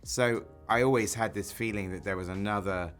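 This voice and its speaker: Deep-voiced film director, thoughtful. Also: male voice, fairly even intonation, moderate pace, a bit bright, soft background music. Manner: thoughtful, restarts and reframes mid-sentence. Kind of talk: casual interview answers.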